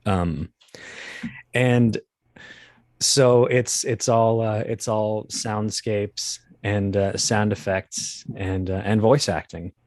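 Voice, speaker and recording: Male interview guest, low voice in a dry close recording, rambling explainer with wry asides. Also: fairly even intonation, slow pace, a little mellow, no room sound. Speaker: guest, voice actor. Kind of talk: interview conversation, explaining the craft.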